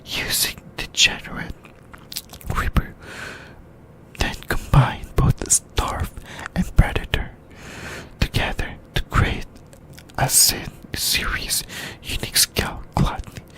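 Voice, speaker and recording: A YouTuber whispering an ASMR anime discussion in a halting way, in short broken phrases. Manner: whispering throughout.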